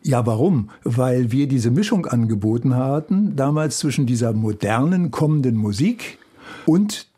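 A man's voice: medium pitch, expressive, moderate pace, mellow, breathy edge. An older man recalling past work in an interview. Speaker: interviewee, former radio station head. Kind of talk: spoken recollection.

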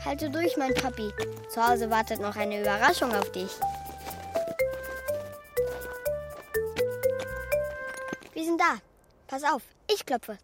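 A woman's voice: on the high side, expressive, normal speed, over loud music, natural voice. A woman playing a small wooden puppet boy, brave, earnest and precocious, with a childlike lecturing manner in a children's radio play. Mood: cheerful.

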